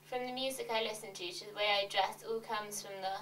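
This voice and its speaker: Distant mic, faint noise, woman, monotone scripted reading, deadpan, awkward. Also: medium pitch, fairly quick. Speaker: reader of fans' confessions. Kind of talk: reading a scripted monologue of fan confessions.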